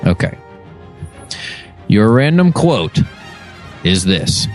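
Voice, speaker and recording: A man's voice: fairly low pitch, very animated, very slow, soft background music. A man hosting a casual podcast game, chatting with friends as he runs the quiz. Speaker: podcast host and quiz master. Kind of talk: casual group podcast chat.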